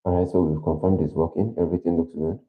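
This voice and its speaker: A man with a deep voice hosting a livestream, thinking aloud in a casual, rambling way and correcting himself as he goes. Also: fairly even intonation, quick pace. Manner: rambling, self-correcting, plans out loud. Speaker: livestream host. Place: quiet indoor room. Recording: dull lo-fi livestream audio.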